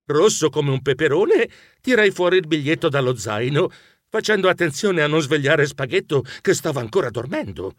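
Bashful, fretful gentleman mouse narrator, children's audiobook. Fairly high pitch, animated swings, close dry sound. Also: moderate pace, no echo. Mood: bashful storytelling. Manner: expressive, fretful, easily embarrassed. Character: anxious, bashful gentlemanly male mouse, telling his adventure.

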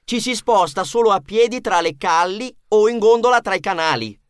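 Man voicing a hearty, high-pitched jovial gondolier. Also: animated, normal speed, clean tone, no echo, studio quality. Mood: explaining. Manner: hearty, proclaiming, teasing. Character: jovial male Venetian gondolier announcing a contest. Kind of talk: children's story character voice.

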